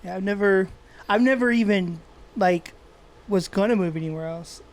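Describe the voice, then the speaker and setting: Casual co-host, male, relaxed rambling delivery with occasional smoker's cough. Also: high voice, moderate pace. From Texas. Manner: laid-back, swearing, rambling with restarts, coughing while smoking. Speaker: podcast co-host. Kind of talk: casual chat. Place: indoors, seated smoking.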